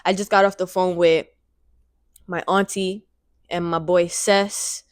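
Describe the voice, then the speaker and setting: A woman in her twenties talking straight to camera in a casual, rambling vlog, excited and repeating her phrases, speaking into a handheld microphone. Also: on the low side, slow, no echo, clean studio sound. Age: 20s. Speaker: vlogger.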